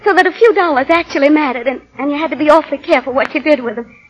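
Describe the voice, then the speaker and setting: An actress playing an anxious, genteel young wife from the American South in a 1940s radio drama. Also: female voice, sits high, very fast, natural voice. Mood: pleading. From Southern United States. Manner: flustered, pleading. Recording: muffled vintage 1940 radio recording.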